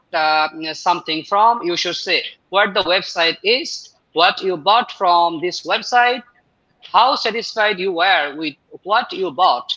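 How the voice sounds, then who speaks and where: A man hosting live speaking-exam practice as tutor, asking questions in a halting way with many fillers. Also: sits high, pushed and bright, dull audio.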